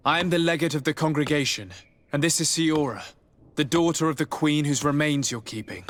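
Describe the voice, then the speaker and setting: A man acting a courteous, composed noble diplomat with a formal, measured manner in a video game. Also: on the high side, fairly bright tone, lightly acted.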